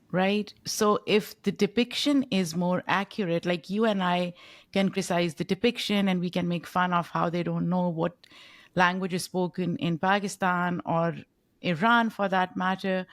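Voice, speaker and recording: A woman hosting an interview, setting up a measured question for her guest. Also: fairly low pitch, no echo. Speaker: podcast host. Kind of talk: conversational interview.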